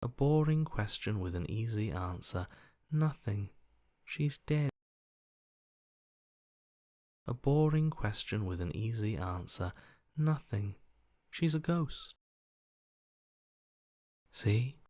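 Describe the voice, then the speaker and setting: A man's voice: fairly low pitch, expressive, slow pace, pauses often, dark tone, no echo. A man voicing a cold, detached, bored inner voice in a video game, speaking in a dry, blunt and dismissive way.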